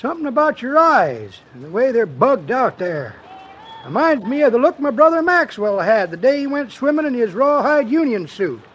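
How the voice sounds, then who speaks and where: Very high-pitched rural old-timer. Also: male voice, big pitch swings, moderate pace, a bit bright, exaggerated voice. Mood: deadpan yarn-spinning. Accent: rural American. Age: older. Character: folksy old-timer spinning tall tales. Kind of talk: 1950s American radio comedy acting. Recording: dull old radio recording.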